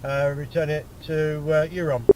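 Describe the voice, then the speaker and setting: A man's voice: a little high, level tone, moderate pace. A British man in his sixties, chatting casually as an amateur radio operator over a noisy radio app link. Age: 60s. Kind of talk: casual ham radio conversation.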